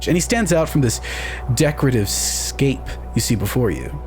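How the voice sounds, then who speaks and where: A man improvising descriptive tabletop game narration in deliberate, evocative phrases with pauses. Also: medium pitch, sing-song, a little mellow. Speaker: tabletop game player, storyteller. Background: music.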